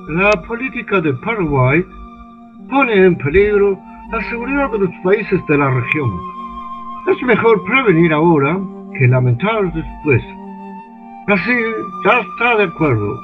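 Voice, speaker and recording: An older man in his sixties plays a smooth, manipulative male British ambassador in a radio drama recorded over a video call, talking slowly and persuasively. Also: sits high, very animated. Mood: scheming. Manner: slow, insinuating, persuasive. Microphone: computer webcam mic on a video call. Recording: tinny phone-like line with faint music.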